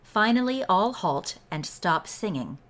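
A woman reading aloud from a scripted ritual text in a measured, deliberate way. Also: medium pitch, very animated, a bit bright. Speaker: read-along host and reader. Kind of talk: slow scripted read-aloud of a ritual book.

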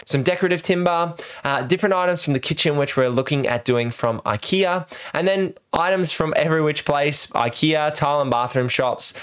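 A man's voice: fairly high pitch, clean tone. An Australian man in his thirties explaining things as a vlog host, speaking into a close desk microphone in a small room at home. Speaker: host of a home renovation video series. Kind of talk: explanatory vlog talk to camera. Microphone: desk microphone close to mouth.

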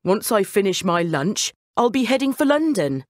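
A woman's voice: mid-range voice, very animated, moderate pace, no echo, clean studio sound, lightly acted. A woman voicing a friendly, easygoing coachman in a children's bedtime story.

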